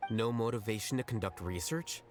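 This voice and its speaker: A man's voice: on the low side, brisk, close to an everyday voice. An actor playing a cool, reserved male scholar in a video game, composed, dry and terse. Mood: cool probing. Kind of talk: video game dialogue acting. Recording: game music behind. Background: game music.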